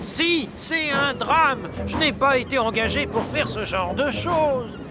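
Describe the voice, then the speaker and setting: A man's voice: very high voice, slow, loud music behind the voice, over a noisy crowd. An actor voicing a proud, grumpy big male express engine in a French cartoon dub, with a haughty, complaining manner. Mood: indignant.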